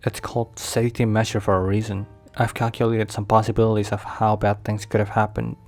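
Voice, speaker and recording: A man playing an overprotective mafia boss boyfriend in an ASMR roleplay, speaking formally with measured, halting, slightly stilted phrasing. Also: lowish voice, little pitch movement, a little mellow, faint music behind, close to an everyday voice. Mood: matter-of-fact, defensive.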